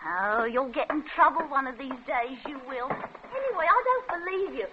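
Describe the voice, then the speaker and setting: High-voiced, cheeky Cockney girlfriend. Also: lively intonation, normal speed. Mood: suspicious, challenging. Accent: working-class London, Cockney. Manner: pert, teasing, exclamatory. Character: cheeky, suspicious working-class London girlfriend, young woman. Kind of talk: old-time radio drama acting. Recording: muffled old radio recording, faint noise.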